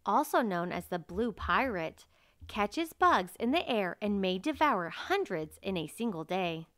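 Woman, children's book narrator, slow pace, smooth clear voice, measured reading, dry close recording. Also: medium pitch, a bit bright, dry recording. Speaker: read-aloud narrator. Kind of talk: reading a children's nonfiction picture book aloud.

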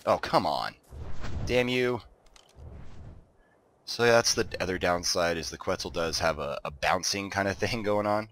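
A man's voice: deep voice, measured pace, pauses often, slight background noise. A man casually commenting while he plays and builds in a game, sounding a little congested and stuffed-up. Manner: relaxed, chatty, talks to himself while building. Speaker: gaming video host.

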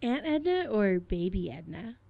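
An actress playing a bright, inquisitive junior assistant in a small-town radio play. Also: female voice, medium pitch, very animated, unhurried, gravelly, no echo, highs cut off. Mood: bright curiosity. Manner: perky, inquisitive.

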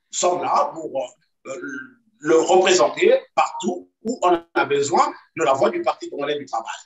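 A man defending his party in a video-call debate, measured and full of hesitations. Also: on the high side. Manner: measured, hesitant with many fillers, insistent. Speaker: party activist guest. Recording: roomy echo, distant from mic.

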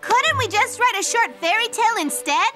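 A woman's voice: very high voice, animated, normal speed. A woman playing a theatrical, sensation-loving schoolgirl in a cartoon, dramatic and gushing in manner. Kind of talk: animated cartoon dub acting. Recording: loud music under the voice.

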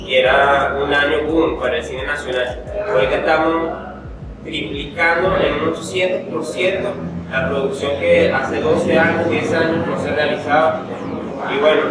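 Young man promoting at a press conference. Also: fairly high pitch. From Venezuela. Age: young adult. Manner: proud, promotional. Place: indoor press room. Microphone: table mic. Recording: echoey room heard from a distance, music underneath.

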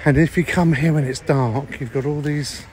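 A man's voice: fairly high pitch, fairly even intonation, moderate pace, a crowd in the background. An older man chatting casually as he films a travel vlog at an outdoor beach restaurant. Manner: chatty, filming as he walks and eats. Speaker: vlog host. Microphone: handheld phone camera. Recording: outdoor phone audio with background music.

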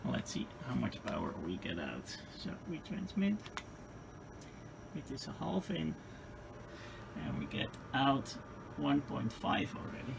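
A man with a Dutch accent and a gravelly voice gives an unscripted, halting hands-on equipment demonstration. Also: mid-range voice, wildly swooping pitch. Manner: halting, hesitant phrasing with repeated words. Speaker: hobbyist demonstrator. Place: indoor electronics workbench. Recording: clearly noisy room recording.

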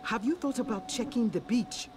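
A woman's voice: a little high, expressive, normal speed, music in the background, close to an everyday voice. An actress playing a pious, persistent female island survivor in video game dialogue. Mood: earnest, coaxing.

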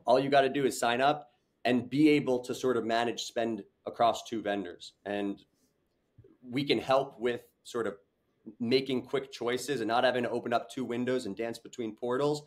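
A man's voice: mid-range voice, fairly even intonation, normal speed. A young man, a startup founder, talking earnestly on a video call interview, with many fillers and restarts. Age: young adult. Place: home room with large window.

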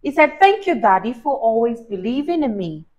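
A young woman reading a story aloud to a child in an expressive, encouraging, teacherly way, often prompting with questions. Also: a little high, moderate pace. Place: small indoor room on a sofa. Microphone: lapel mic.

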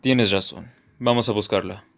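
A woman voicing a composed, awkward spy father with a measured, dry delivery and a Latin American Spanish accent, in an anime fan dub. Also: rumbling low, vocal fry. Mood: concerned.

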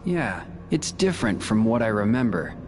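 A man playing a brooding, resolute young warrior in a video game cutscene, calm, measured and earnest. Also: lowish voice, soft background music. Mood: reflective.

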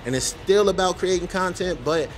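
A man's voice: sits high, normal speed, a little hiss or hum. A man hosting a vlog, chatting casually in a laid-back, joking, slangy way. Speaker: vlog host. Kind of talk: casual chat.

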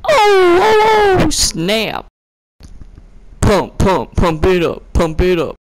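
A woman improvises playful lines over game animations. Her pitch leaps around a great deal, while her pace is very slow, with short catchphrases thrown out as exclamations. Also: huge pitch swings, poor audio. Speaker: gaming video creator.

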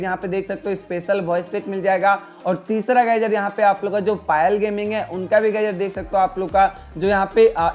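A man's voice: sits high, a little mellow. A man with an Indian accent doing a casual gaming news voice-over as a YouTube host, repeatedly addressing his listeners. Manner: repetitive, addresses listeners constantly as friends. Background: background music.

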